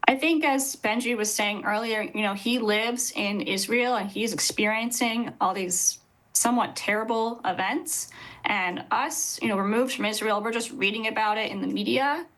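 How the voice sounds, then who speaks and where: A woman in her thirties, a rabbi, giving measured, earnest interview answers over a video call from an office. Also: a little high, fairly bright tone, poor audio. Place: indoor office with bookshelves.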